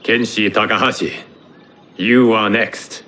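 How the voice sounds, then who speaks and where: An actor playing a solemn, wise lord and protector in a video game, formal, measured and authoritative. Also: male voice, on the low side, steady tone, sharp tone. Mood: commanding. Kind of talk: video game cutscene acting. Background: music.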